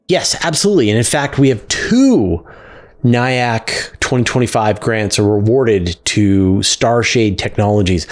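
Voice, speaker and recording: A man hosting a science Q&A show, explaining things in a casual, conversational and enthusiastic way. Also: mid-range voice. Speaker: host of a space Q&A show. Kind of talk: casual explanatory talk to camera.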